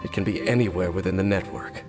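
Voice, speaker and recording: An actor playing a solemn, guarded former royal guard captain in a video game, speaking formally and with measured, brooding deliberation. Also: male voice, low voice, loud music behind the voice. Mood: grave, composed. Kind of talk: video game character acting.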